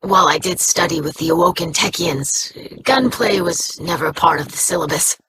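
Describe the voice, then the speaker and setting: A woman's voice: on the low side, normal speed, fairly bright tone, a little hiss or hum, natural voice. An actress playing an earnest young female alien scholar who speaks in stiff, precise, formal diction.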